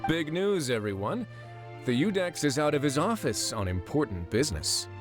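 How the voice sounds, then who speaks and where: An actor playing a wry, relaxed male warden in a video game, with a dry sense of humor. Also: a little high, moderate pace. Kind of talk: game character dialogue. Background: game music.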